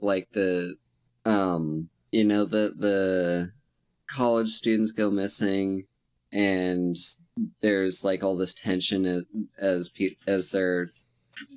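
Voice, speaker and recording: A man's voice: sits low, smooth. A man co-hosting a casual film podcast, sniffly from a cold, rambling and hesitant as he searches for words. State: getting over a cold, sniffly. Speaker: podcast co-host.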